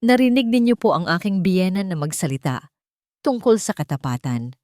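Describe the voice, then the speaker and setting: A woman voicing a wronged, resolute wife in a story, speaking formally with deliberate emphasis. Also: lowish voice, lively intonation, darkish tone, no echo, studio quality, natural voice. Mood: defiant. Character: wronged young wife, resolute, publicly exposing her in-laws.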